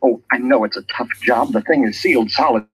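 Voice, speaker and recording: An actor playing a distraught, secretive husband in an old-time radio drama, speaking in a halting, urgent, earnest way. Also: male voice, medium pitch, slightly rough. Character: distraught, secretive husband confiding a family murder. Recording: muffled vintage radio recording.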